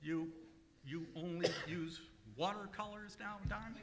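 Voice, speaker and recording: Man playing a bright, prissy radio comedian. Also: high voice, fairly even intonation, slight background noise. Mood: puzzled, flustered. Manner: halting, drawn-out, stammering reactions. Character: prissy, hesitant, genial male radio comedian. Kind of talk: old-time radio comedy acting. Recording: echoey hall, live stage performance.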